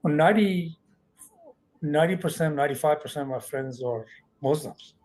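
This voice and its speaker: A man who grew up in Afghanistan, a filmmaker recalling his childhood in a measured way, often restarting phrases. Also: on the high side, darkish tone, rough recording. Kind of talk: personal recollection.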